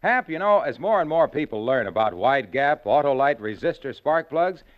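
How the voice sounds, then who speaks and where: A man's voice: expressive, normal speed. Peppy man in an old radio ad. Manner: enthusiastic sales pitch. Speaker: sponsor announcer. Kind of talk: scripted radio ad. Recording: dull vintage radio recording.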